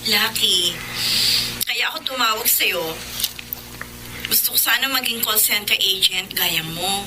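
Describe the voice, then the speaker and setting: A woman's voice: mid-range voice, a little slow, background noise, natural voice. A woman playing an impatient, sulky young woman in a Filipino radio romance drama, with a Filipino accent. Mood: stubborn.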